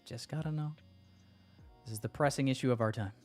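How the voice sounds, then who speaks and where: A man's voice: medium pitch, fairly quick, faint music behind. A man hosting a livestream, chatting casually with his viewers in a slangy, joking way and swearing freely. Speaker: livestream host.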